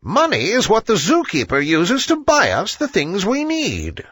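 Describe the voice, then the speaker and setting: A wise old storybook owl, acted out by a man, pitched high and swooping with emphasis. He hoots with impatient authority, and the voice is husky, recorded close and dry. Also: wildly swooping pitch, unhurried, fairly bright tone, dry recording, heavily acted. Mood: explaining. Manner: authoritative, emphatic hooting delivery. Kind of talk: children's storybook character reading.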